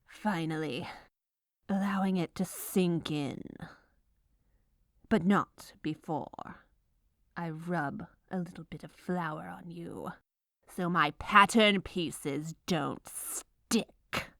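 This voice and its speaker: Female ASMR performer, gleefully evil baker character, drawn-out theatrical delivery, close dry sound. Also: on the low side, fairly bright tone, dry recording. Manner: theatrical, menacing, gleeful villainous delivery of mundane baking steps. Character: gleefully menacing baker, melodramatic and secretly evil woman. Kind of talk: scripted ASMR comedic narration.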